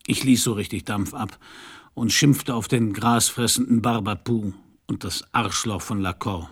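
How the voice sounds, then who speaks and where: An actor playing a blunt, world-weary detective with an anarchist past, narrating and acting gruffly in a German radio crime play. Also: male voice, fairly low pitch, steady tone, measured pace. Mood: weary narration.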